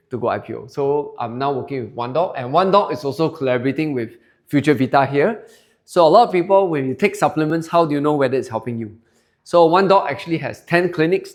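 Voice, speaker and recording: A man in his forties with a Singaporean English accent, giving a chatty sales presentation to a room of listeners and polling them with questions. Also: mid-range voice. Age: 40s. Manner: chatty, polls the crowd and tags lines with "okay?". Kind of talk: sales presentation to a live audience. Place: seminar room with an audience.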